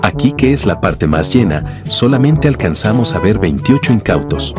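A man's voice: fairly low pitch, sing-song, normal speed. A man narrating a mocking commentary voice-over, scornful and sarcastic, with music behind. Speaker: channel narrator.

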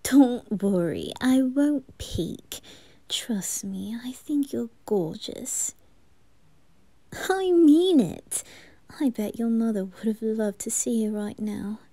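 Woman as flustered young nobleman, teasing, slow, hesitant soft murmur, dry close sound, ASMR roleplay. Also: a little high, dry recording. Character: shy, teasing young highborn man, smitten and flustered.

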